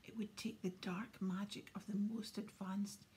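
A woman voicing a calm, gentle old male headmaster who speaks softly but firmly. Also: mid-range voice, unhurried, close to an everyday voice. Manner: soft, firm.

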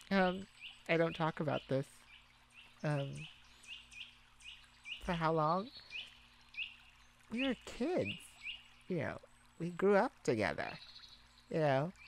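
A woman's voice: fairly low pitch, a little hiss or hum. A woman playing a kind, protective, flustered young mage in a romantic ASMR roleplay, hesitant and stammering. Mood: shy, tender. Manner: hesitant, stammering, soft-hearted. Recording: steady outdoor birdsong ambience behind voice.

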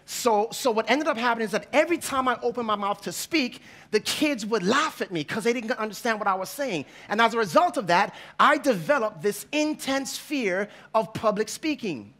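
Bright, high-voiced pastor telling a story. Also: male voice, moderate pace, hardly any pauses. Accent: slight Jamaican. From Jamaica. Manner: animated, acts out quoted voices, laughs as he tells. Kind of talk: storytelling sermon. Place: large church hall stage with audience. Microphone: headset mic.

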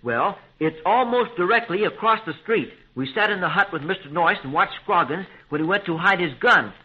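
High-voiced plucky young radio adventurer. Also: male voice, animated, normal speed, slightly rough. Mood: eager. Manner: eager, slangy. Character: Jimmy, plucky, determined young male adventurer. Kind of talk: 1930s radio adventure serial acting. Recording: dull, lo-fi 1930s radio recording.

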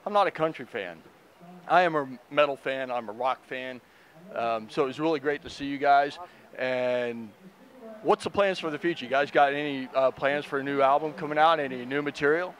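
A middle-aged man hosting an excited, casually swearing backstage interview at an outdoor festival, on a handheld mic. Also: mid-range voice, moderate pace. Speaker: interview host.

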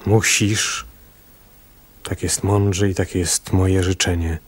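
An actor playing a stern, weary fisherman on the run in a radio drama, speaking in terse, hushed commands. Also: low-pitched, little pitch movement, slow pace, breathy edge, no echo, exaggerated voice. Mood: resolute, commanding. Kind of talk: Polish radio drama acting. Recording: radio drama with music behind.

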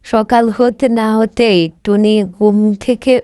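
A woman's voice: on the high side, level tone, slow, warm tone, clear, resonant tone, no echo, clean studio sound. A woman narrating a scripted cartoon tale as a storyteller.

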